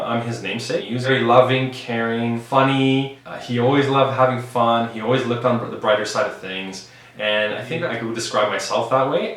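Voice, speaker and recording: Low-voiced man in an echoey room. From Canada. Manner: relaxed, thoughtful, with frequent fillers and restarts. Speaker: vlogger, high school science teacher. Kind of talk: casual personal vlog. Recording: very echoey room, faint background noise.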